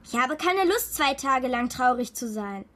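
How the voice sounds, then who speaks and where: A woman's voice: sits high, expressive, a little slow, a bit bright. A woman playing a hurt, cheeky nine-year-old girl in a children's radio drama, with a direct, reproachful, argumentative manner. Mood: angry, reproachful.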